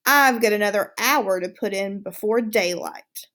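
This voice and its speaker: A woman voicing a smug, excitable male pet hamster in a storybook read-aloud, boastful and exclamatory. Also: mid-range voice, a little slow, natural voice. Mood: proud, eager. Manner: boastful, self-satisfied, exclamatory.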